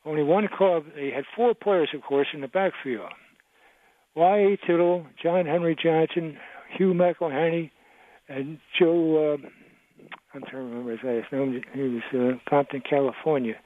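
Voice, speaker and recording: A man's voice: on the high side, normal speed. A man in his eighties calling in to a radio talk show, reminiscing in a rambling way. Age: 80s. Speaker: caller. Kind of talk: phone-in radio call. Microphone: telephone. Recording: tinny phone line, dry.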